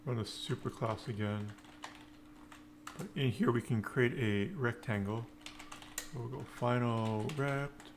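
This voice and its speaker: A man with a creaky, fry-edged voice walks through code as an informal instructor. He talks slowly and in fragments, thinking aloud as he works. There is clear background noise with keyboard typing. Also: mid-range voice. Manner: halting, piecemeal phrasing while typing. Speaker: programming tutorial instructor. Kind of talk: informal live-coding walkthrough, thinking aloud.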